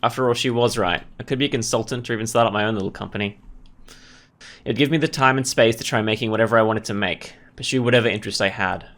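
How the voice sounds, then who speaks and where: A man's voice: on the low side, quick pace, a bit bright. A man hosting a gaming video, giving casual, rambling commentary as he thinks aloud. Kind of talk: casual let's play commentary.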